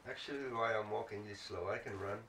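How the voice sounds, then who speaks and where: Roomy distant mic, low-pitched man, casual let's play commentary, thinking aloud. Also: fairly even intonation, fast talker, vocal fry, fairly bright tone, slight background noise.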